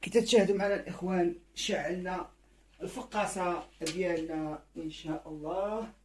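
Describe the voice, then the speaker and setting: A man's voice: high-pitched, steady tone, darkish tone. A man with a Moroccan Arabic accent, giving casual how-to commentary to viewers in a bird-keeping video. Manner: quick, repetitive, addresses viewers as brothers.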